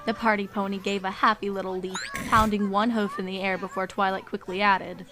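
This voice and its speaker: A woman's voice: mid-range voice, little pitch movement, brisk. Woman narrating a story over music. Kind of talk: scripted fan fiction story narration. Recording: music behind the voice.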